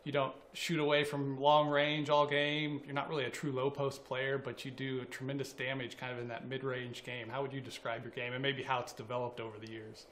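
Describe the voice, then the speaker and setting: A man's voice: medium pitch, flat delivery, brisk, few pauses, fairly bright tone, slight background noise. A man hosting a college sports interview, asking questions in a friendly, conversational way with fillers. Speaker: interviewer host.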